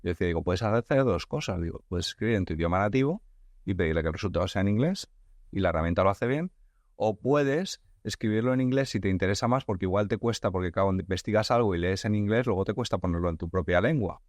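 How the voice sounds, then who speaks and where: A man's voice: deep voice, lively intonation, quick pace, dry recording. A man hosts a podcast conversation. He thinks aloud, often breaking off and restarting his phrases. The sound is dry and close. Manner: thinks aloud, frequent restarts and repeated words. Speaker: podcast host. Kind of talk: conversational interview.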